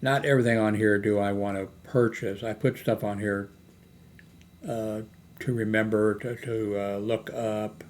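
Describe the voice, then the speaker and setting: An older man hosting a casual unscripted vlog, thinking aloud in a hesitant, halting way as he browses products. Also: fairly low pitch, fairly even intonation. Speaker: YouTube host. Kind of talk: rambling, unscripted screen-browsing product chat. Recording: noticeable background noise, homemade recording.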